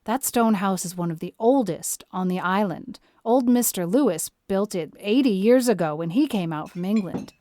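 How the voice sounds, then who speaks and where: A close, dry recording of a strict older country woman, played by an actress, with a mid-range voice. She is brisk and opinionated, with the plain speech of a no-nonsense guardian in an audio storybook drama. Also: mid-range voice, animated, dark tone, dry recording, natural voice. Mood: reflective, matter-of-fact.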